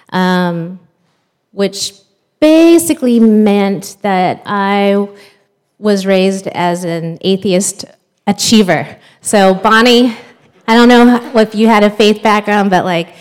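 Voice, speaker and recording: Slow-speaking woman pastor sharing testimony. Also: mid-range voice, smooth. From United States. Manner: chatty, warm storytelling with fillers and small laughs. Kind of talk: church talk, personal testimony.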